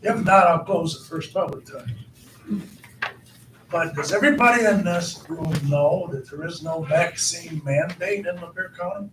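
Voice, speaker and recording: A hoarse man chairing a county board meeting, repeating himself and asking the room questions. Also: fairly high pitch, measured pace. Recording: echoey hall, far from the mic, background noise.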